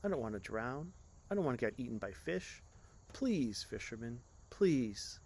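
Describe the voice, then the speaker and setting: A man's voice: medium pitch, very animated, a little slow, a little mellow. A man voicing a storybook prince, a pompous royal given to commands and anxious pleas. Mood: frightened, pleading. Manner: commanding, then worried and pleading. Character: pompous prince turning frightened, pleading man. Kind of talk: storybook character reading.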